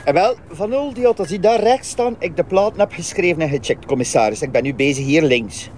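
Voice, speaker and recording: An actor playing an eager, chatty police officer outdoors, with a Flemish accent. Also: male voice, on the high side, fairly quick, close to an everyday voice. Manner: animated and chatty. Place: outdoors at a car park. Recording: faint outdoor noise.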